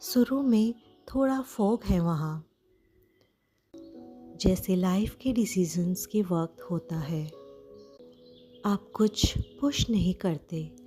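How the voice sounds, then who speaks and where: A woman with an Indian accent leads a guided meditation in a mellow, relaxed voice. She speaks very slowly and gently, pausing often between short phrases. Music plays behind her slightly low-pitched, soothing delivery. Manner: soothing, gentle, with frequent pauses.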